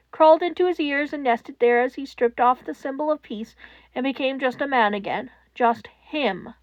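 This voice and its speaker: A woman narrating scripted fan fiction in a solemn, measured way with dramatic pauses. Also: high-pitched, no echo, dull audio.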